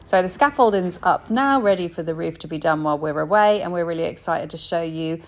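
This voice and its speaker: A woman's voice: lowish voice, a little fast. A woman chatting casually with many "um"s while walking through a bare, empty house, filming on her phone. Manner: chatty, frequent "um" and "so". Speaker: vlogger touring a house renovation. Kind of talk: casual walkthrough narration. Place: empty stripped-out house, indoors.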